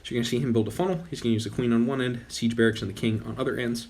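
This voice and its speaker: A man's voice: mid-range voice, steady tone, very fast, a little mellow. Man, young adult, losing his voice, casual gaming commentary, rambling, self-correcting. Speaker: gaming channel host.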